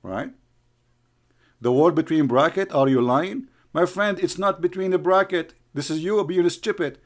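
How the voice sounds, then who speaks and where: A man's voice: on the high side, unhurried. Scornful man. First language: Arabic. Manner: mocking, scornful, calls names. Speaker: online commentator. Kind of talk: heated argumentative commentary.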